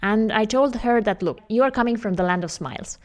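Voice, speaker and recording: A woman's voice: fairly high pitch, a little fast. A woman in her thirties with an Estonian accent, a job search coach talking straight to camera in an earnest, explanatory way. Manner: earnest, explanatory, with non-native phrasing. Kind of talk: direct-to-camera advice video.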